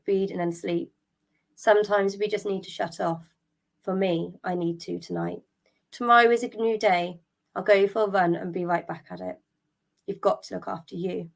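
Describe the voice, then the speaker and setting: A middle-aged woman, hosting a solo podcast, a little croaky from a cold, talking openly and a bit wearily, with ums and restarts. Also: medium pitch. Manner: open and candid, thinking aloud, with ums and restarts; tired and drained. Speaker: podcast host. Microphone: podcast microphone.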